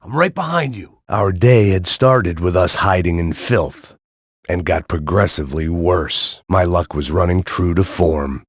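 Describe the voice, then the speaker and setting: A burnt-out, self-loathing ex-cop mutters his hardboiled video game thoughts in a deadpan, sardonic drawl. His voice sits extremely low, and the recording is close and dry with no room sound. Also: measured pace, dry recording. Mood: urgent, shouting. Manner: sardonic, deadpan noir narration. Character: world-weary middle-aged male ex-cop, bitter, self-loathing. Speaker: game protagonist.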